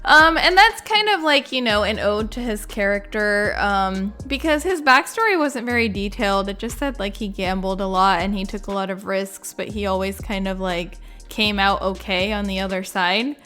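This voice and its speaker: A woman's voice: medium pitch, normal speed, few pauses, pushed and bright, soft background music. A woman giving informal, rambling reaction commentary, sprinkling in "like" as she goes. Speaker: video creator and game lore reviewer.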